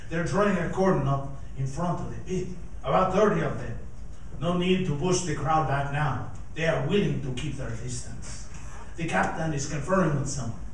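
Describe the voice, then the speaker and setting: A man's voice: on the high side, moderate pace, close to an everyday voice. A man acting as an urgent, alarmed field reporter in a live radio drama. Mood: watchful, hushed. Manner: tense, urgent, declamatory. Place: live stage performance in a performing arts center. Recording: distant mic, echoey theater, faint noise.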